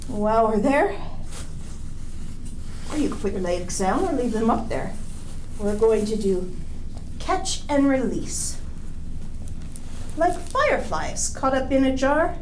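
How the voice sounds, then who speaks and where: A woman hosting a children's storytime, reading picture-book verse and giving simple yoga instructions in a playful, drawn-out, sing-song way. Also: on the high side, expressive, slight background noise, low-quality recording. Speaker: children's storytime host leading bedtime yoga. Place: indoors, small draped space, lying on the floor.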